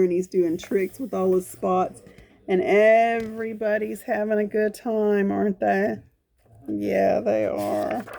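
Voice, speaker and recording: A woman's voice: mid-range voice, vocal fry, mellow. A woman reading a picture book aloud to young children, adding folksy exclamations and coaxing little questions as she goes. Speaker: children's storytime reader.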